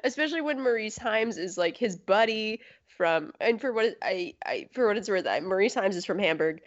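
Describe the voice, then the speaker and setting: A woman's voice: medium pitch, expressive, brisk. Rambling young woman, muffled audio. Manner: rambling, self-interrupting, laughing asides. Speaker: podcast co-host. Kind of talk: casual chat.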